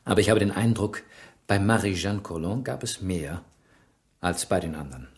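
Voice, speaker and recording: An actor playing a shrewd, persistent police inspector in a German radio crime drama, questioning with dry irony. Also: male voice, low-pitched, normal speed. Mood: probing. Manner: probing, dryly ironic questioning.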